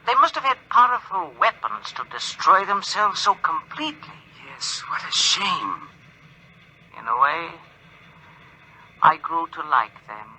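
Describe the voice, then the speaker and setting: Wistful alien spaceman, very bright voice, swings up and down, old radio w faint noise. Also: on the high side, sing-song, a little slow, heavily acted. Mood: wistful, musing. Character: calm, regretful alien starship travelers musing aloud. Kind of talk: 1950s radio drama acting. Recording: bright, noisy 1950s radio transmission.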